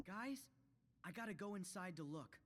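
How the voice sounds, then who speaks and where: A man's voice: high voice, animated, normal speed. A man voicing an earnest, impulsive, loyal teenage boy hero in a game. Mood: determined. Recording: loud music behind the voice.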